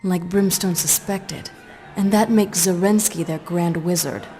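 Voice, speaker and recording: An actress plays a sultry half-vampire huntress in a game cutscene. The voice is on the low side, cool and sardonic, with music clearly behind it. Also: female voice, steady tone, measured pace, warm tone, lightly acted. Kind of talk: video game cutscene acting.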